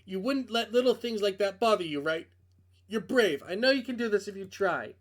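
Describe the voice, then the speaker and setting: Man as a coaxing, high-voiced woman friend. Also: animated, moderate pace, fairly bright tone. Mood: insistently soothing. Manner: insistent, soothing, downplaying. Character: coaxing, falsely reassuring woman friend on the phone.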